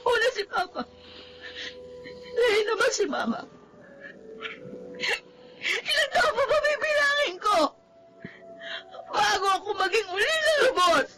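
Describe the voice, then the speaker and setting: A woman's voice: very high-pitched, big pitch swings, measured pace, long pauses, bright, forceful tone, a little hiss or hum, exaggerated voice, rough recording. An actress playing a grieving, tender orphaned young woman in a Tagalog radio drama, speaking tearfully. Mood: tearful anguish. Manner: tearful, emotional delivery.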